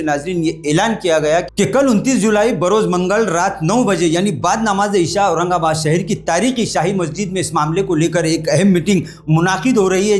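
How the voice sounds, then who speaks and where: Male news anchor, high-pitched voice, from India, formal delivery, news report style. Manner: formal, addresses viewers often.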